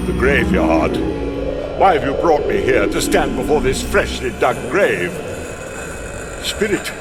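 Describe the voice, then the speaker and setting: Pleading old miser, swooping dramatic voice. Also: male voice, mid-range voice. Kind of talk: theatrical radio-play acting. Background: music.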